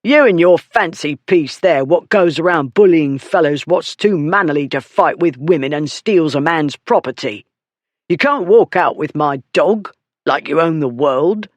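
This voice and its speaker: A narrator voicing a coarse, drunken man who blusters and shouts in rough working-class speech. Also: mid-range voice, expressive, measured pace, no echo, natural voice. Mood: blustering. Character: drunken coarse male dog beater, blustering.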